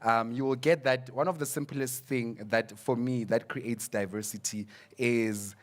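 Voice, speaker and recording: A man's voice: medium pitch, steady tone, a little slow. A young South African man, a psychology student, speaking earnestly on a panel, repeating words as he searches for them. English is not his first language. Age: young adult. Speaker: student representative. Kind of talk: panel discussion.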